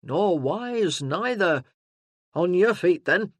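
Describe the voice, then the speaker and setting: Narrator voicing a gruff male sergeant, loud, commanding, barking orders, old soldier. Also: high voice, animated, takes it slowly, dry recording, pitched above the performer's own voice, heavily acted. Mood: stern. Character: gruff burly ex-army sergeant, stern and loud.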